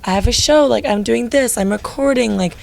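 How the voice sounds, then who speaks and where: A young woman in her late teens, lead singer of a band, with a low, raspy voice, chatting casually with lots of filler likes in an interview. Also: a little fast.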